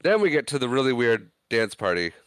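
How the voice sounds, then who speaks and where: A man's voice: medium pitch, very animated, a little fast, fairly bright tone, clear, resonant tone, no room sound, clean studio sound. A man co-hosting a podcast, retelling film scenes in casual chat, acting out the characters' quoted lines. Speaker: podcast co-host.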